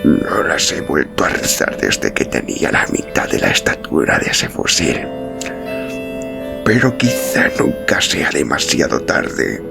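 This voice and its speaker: Deep, gravelly, weary frontier adventurer played by a man. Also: very animated, measured pace, music in the background, exaggerated voice. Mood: rueful, grave. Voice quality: deep, rough. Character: weary, hardened bearded adventurer, gruff but kindly protector.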